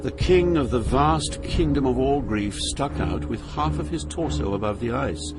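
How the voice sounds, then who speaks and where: An actor playing a grave, awestruck pilgrim, narrating an epic journey through Hell in a solemn, measured way. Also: male voice, medium pitch, lively intonation, close to an everyday voice. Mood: horrified awe. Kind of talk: dramatic scripted narration of an epic poem. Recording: loud music under the voice.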